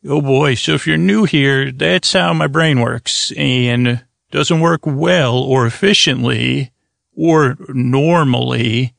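A man with a creaky voice hosting a sleep podcast, gently rambling in a soothing, halting way with stutters and unfinished thoughts. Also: medium pitch, dry recording, clean studio sound. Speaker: podcast host.

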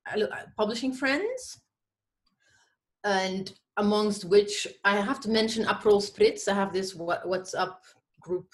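A woman's voice: medium pitch, expressive, unhurried. A woman from Ethiopia chatting as an interview guest, hesitant and self-deprecating, often restarting her thoughts. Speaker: podcast guest, literary editor and prize judge. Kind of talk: casual interview chat.

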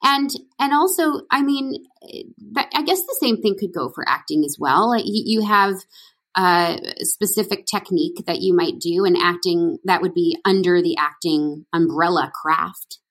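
A middle-aged woman from Canada chatting about her acting work, her voice a touch low and husky. She rambles through stories with lots of "you know" and "like" and restarts mid-sentence. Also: lively intonation, normal speed, fairly bright tone. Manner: chatty, storytelling, many fillers and restarts. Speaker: actress guest. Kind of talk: casual interview chat.

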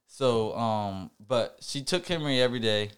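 A young man co-hosting a podcast, talking in a reflective, halting way with many fillers and restarts. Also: medium pitch, lively intonation, clear, resonant tone. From Puerto Rican family background. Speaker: podcast co-host. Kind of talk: casual podcast chat.

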